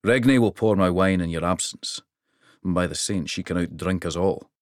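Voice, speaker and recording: A man's voice: deep voice, moderate pace, no room sound, studio quality, lightly acted. A man voicing a jovial, towering king who speaks in a hearty, commanding way. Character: booming jovial giant of a king.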